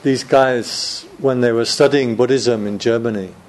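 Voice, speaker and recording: A man's voice: mid-range voice, fairly even intonation, a little slow, darkish tone. A man, a Buddhist monk, giving a chatty Dharma talk to a room of students, joking and laughing often.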